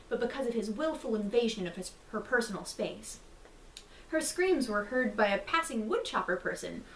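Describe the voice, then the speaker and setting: Animated woman storyteller, higher-pitched voice. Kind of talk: theatrical bedtime story reading. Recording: roomy, distant mic, faint noise.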